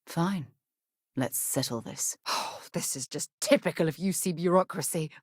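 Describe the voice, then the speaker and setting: A woman's voice: low voice, unhurried, breathy edge, no echo, clean studio sound. An actress playing an earnest, principled woman space explorer in a video game, speaking in a composed, articulate, rather formal way. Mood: stern, exasperated.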